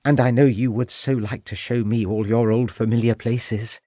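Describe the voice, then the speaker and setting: A man's voice: medium pitch, steady tone, normal speed, warm tone, dry recording, studio quality, lightly acted. A man doing an eager young Victorian wife. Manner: gushing, pleading.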